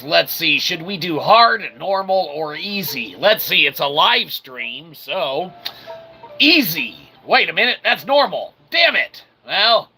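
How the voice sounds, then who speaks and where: Bright, forceful man hosting a game stream. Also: high voice, gravelly. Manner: jokey, mock-earnest showman with repeated catchphrases. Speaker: host of a game livestream. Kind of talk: casual rambling let's-play commentary.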